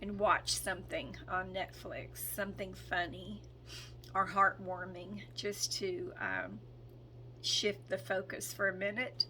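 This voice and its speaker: A hoarse woman speaking in a slow, hesitant way, with frequent ums and throat clearing, as she gives a casual spoken update as a spiritual channel. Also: mid-range voice, level tone, pushed and bright, slight background noise, rough recording.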